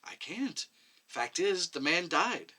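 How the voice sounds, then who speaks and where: A man's voice: fairly high pitch, sing-song, slow pace, heavily acted. Man reading as a meek, hesitant woman medic. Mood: resigned. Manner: quiet, hesitant, plain-spoken. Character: meek, defensive woman medic under questioning. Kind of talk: dramatic short story reading.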